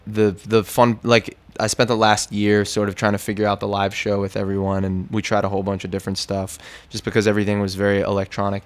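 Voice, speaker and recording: A man's voice: fairly low pitch, completely flat delivery, quick pace, hardly any pauses. An American man, a musician, chatting casually in an interview in a laid-back, slangy, conversational way. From New York, United States.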